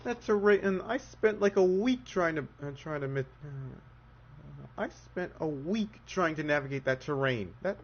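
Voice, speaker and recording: A man's voice: a little high, lively intonation, measured pace, creaky, a little hiss or hum. A man giving casual, joking and rambling live commentary while playing a game. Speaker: livestreamer. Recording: dull lo-fi audio, loud music. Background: game music.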